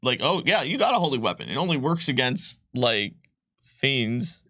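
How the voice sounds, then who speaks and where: A man explaining tabletop game rules in a casual livestream chat, talking in quick bursts with ums and restarts. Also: mid-range voice, sing-song, pushed and bright, dry recording, clean studio sound. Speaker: game designer co-host.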